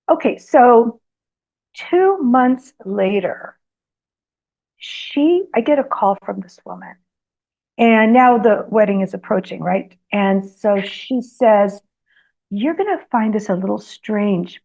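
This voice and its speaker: A woman whose first language is Greek tells personal stories as a guest in an interview. She pauses often with ums, then grows animated as the stories build. The recording sounds slightly dull and lo-fi. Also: medium pitch, gravelly. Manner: hesitant, lots of ums, animated when telling anecdotes. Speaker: guest author. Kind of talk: storytelling in an interview.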